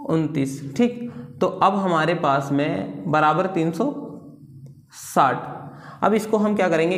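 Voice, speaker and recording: A man teaching step by step in an informal way, often repeating phrases and posing questions that he answers himself. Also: a little high. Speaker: maths teacher. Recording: echoey room.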